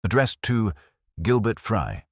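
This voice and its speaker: A man's voice: lowish voice, sing-song, slow pace, breathy edge, no room sound, studio quality, voice pushed up, full character voice. A man voicing an earnest, attentive doctor and detective's companion in an audiobook, speaking factually. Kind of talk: audiobook detective story dialogue.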